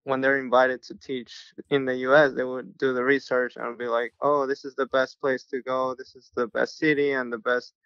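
A young adult man from Mexico, a pastor, telling his life story in a relaxed podcast interview. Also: mid-range voice, normal speed, hardly any pauses, clear, resonant tone, dry recording, dull audio.

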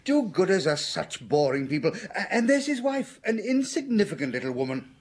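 An actor playing a jovial, cynical village doctor in a radio drama, breezy and dismissive. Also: male voice, high voice, big pitch swings, moderate pace, slightly rough, exaggerated voice. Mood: amused, cynical.

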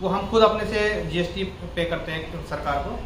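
A slightly high-voiced man in his thirties explains salon services point by point. He works as a hairstylist and talks informally, as on a tour. The sound is roomy and away from the mic, with clear background noise. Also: normal speed, a bit bright, distant mic. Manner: explanatory, listing services item by item. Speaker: salon hairstylist and skin specialist. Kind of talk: informal promotional walkthrough. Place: indoor salon with hard tiled floor. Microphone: camera a few steps away.

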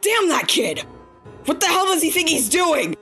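A woman's voice: extremely high pitch, big pitch swings, moderate pace, sharp tone, soft background music, full character voice. A woman playing a fierce monster warrior in a dramatic comic dub, intense and heroic. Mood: urgent, furious. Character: fierce, gruff female monster warrior, wounded but defiant.

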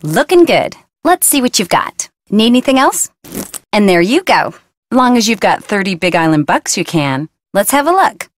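A woman's voice: medium pitch, big pitch swings, moderate pace, no echo, lightly acted. A woman playing a young, blunt, stubborn surfer girl in a video game, talking in casual, flippant slang. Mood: breezy, businesslike.

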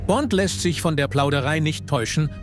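A man's voice: on the high side, fairly quick, over loud music. A man narrating an audio drama from a script.

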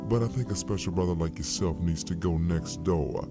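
A man's voice: deep voice, moderate pace. A man voicing a laid-back cartoon clothes shop keeper with folksy, slangy talk. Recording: music under cartoon playback.

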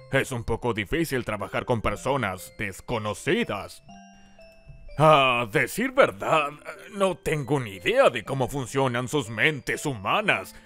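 A man's voice: mid-range voice, fairly bright tone. A man voicing a flamboyant, eager cartoon ringmaster with a showy, grandiloquent way of talking. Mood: sheepish, apologetic. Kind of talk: theatrical cartoon dub acting.

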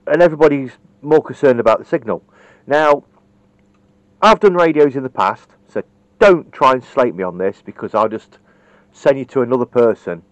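A man's voice: mid-range voice, no echo. Blunt man, dull lo-fi sound. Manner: blunt, matter-of-fact, chatty. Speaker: hobbyist radio repairer demonstrating. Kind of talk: informal hands-on tutorial, off the cuff. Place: indoors at a cluttered workbench. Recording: dull, lo-fi camera audio.

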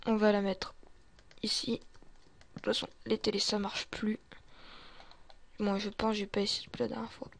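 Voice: medium pitch, flat delivery, normal speed, creaky, long pauses. A gamer narrating a zombie survival roleplay in character, muttering to themself and often dropping to a whisper. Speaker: gaming video creator playing a survivor.

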